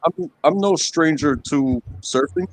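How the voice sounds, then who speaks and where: A man chatting casually on a live stream, speaking haltingly with many fillers and restarts. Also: on the high side, low-quality recording. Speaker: stream guest. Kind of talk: casual chat.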